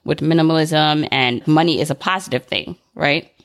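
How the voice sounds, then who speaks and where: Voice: medium pitch, normal speed, a bit bright, dry recording, clean studio sound. A podcast host in casual conversation, framing long, conversational questions. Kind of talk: casual interview chat.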